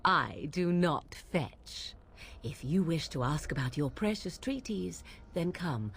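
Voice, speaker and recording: A woman's voice: deep voice, lively intonation, slow pace, vocal fry, a little hiss or hum, heavily acted. An actress playing a haughty young witch who speaks coldly and curtly. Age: young adult. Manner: cold, clipped, disdainful.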